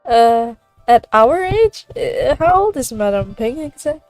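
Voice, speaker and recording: Slow-talking, animated woman streamer. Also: a little high, faint music behind. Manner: giggly, hesitant musing. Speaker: game streamer. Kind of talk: casual reaction commentary over gameplay.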